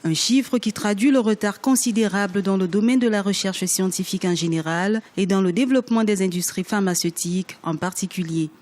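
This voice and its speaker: A reporter reading a scripted news report in a steady, formal way. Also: medium pitch, a little fast, hardly any pauses.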